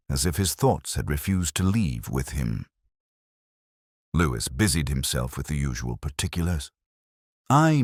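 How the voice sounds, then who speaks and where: A man as a very deep, melancholy inspector. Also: dry recording, clean studio sound, exaggerated voice. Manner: measured, reflective, wry. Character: melancholy, erudite older male English police inspector, reminiscing. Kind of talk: slow literary first-person detective narration.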